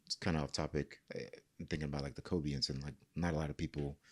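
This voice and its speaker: A man's voice: rumbling low, level tone, racing. A man hosting a podcast, laid-back and casual, asking questions and giving lots of short replies of agreement. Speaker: podcast host. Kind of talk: casual interview chat.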